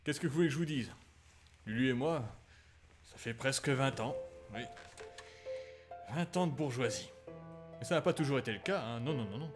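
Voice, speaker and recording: A man's voice: medium pitch, expressive, normal speed, pauses often, close to an everyday voice. A man voicing a pompous, vulgar middle-aged husband in a comedy dub, smug and easily flustered. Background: music.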